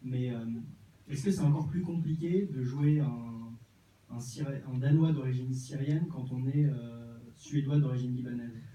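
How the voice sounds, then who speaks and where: A man moderating a film Q&A and putting a question to a guest, courteous and a little halting, heard from afar. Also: mid-range voice, steady tone, dark tone. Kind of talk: asking a question at a Q&A. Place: cinema auditorium. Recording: muffled, echoey hall from far off.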